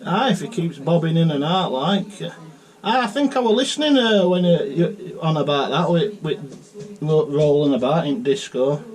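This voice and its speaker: A man with a South Yorkshire accent talks in a slightly high voice. He chats casually as a CB radio operator. His delivery is halting and chatty, sprinkled with technical jargon. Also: animated, low-quality recording.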